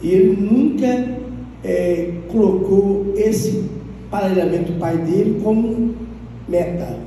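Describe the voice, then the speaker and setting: A man from the audience speaking in halting sentences with frequent tag questions. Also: high-pitched, mellow, a little hiss or hum. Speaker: audience member. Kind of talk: public tribute from the audience. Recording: distant mic in reverberant hall.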